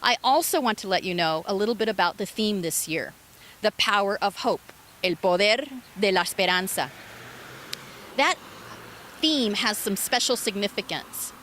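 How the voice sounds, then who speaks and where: A middle-aged woman from Argentina, a parade association president, giving an earnest, proud recorded address and slowing down on key phrases. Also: medium pitch, expressive, fairly bright tone, slight background noise.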